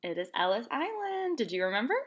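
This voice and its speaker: Teacher, woman, friendly and chatty with her class, high voice, very lively. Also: quick pace. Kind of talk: reading aloud to students.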